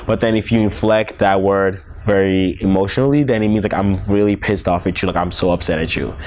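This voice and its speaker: A young man from Nigeria with a Nigerian accent, Yoruba his first language, giving halting casual interview answers full of "like" fillers. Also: low-pitched, steady tone, darkish tone.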